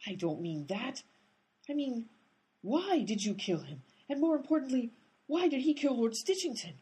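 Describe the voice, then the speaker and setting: A woman's voice: fairly high pitch, very animated, normal speed, warm tone, breathy edge, exaggerated voice. A woman playing an exasperated, blunt, tough-talking detective in a comedic radio play. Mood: tough, demanding.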